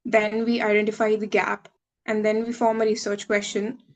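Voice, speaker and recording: A woman's voice: mid-range voice, flat delivery, normal speed, highs cut off. A woman in her twenties from India, a medical student giving a webinar talk over a video call, explaining steadily with some filler uhs. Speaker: guest speaker, medical student. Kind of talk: webinar presentation reading slides.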